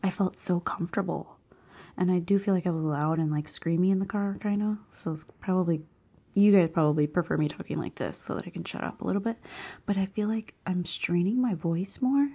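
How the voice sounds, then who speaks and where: A woman vlogging in a hushed half-whisper, slightly hoarse, reacting to quiz questions with bursts of excited disbelief. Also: fairly low pitch, fairly quick. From Cuban family background. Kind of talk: casual chat, reading quiz questions aloud.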